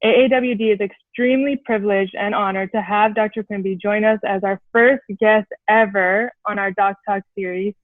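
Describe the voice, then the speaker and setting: A woman's voice: mid-range voice, fairly even intonation, normal speed, few pauses, dry recording. A woman hosting an interview, reading an introduction and then asking loose, friendly questions. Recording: dry, tinny phone-like call audio.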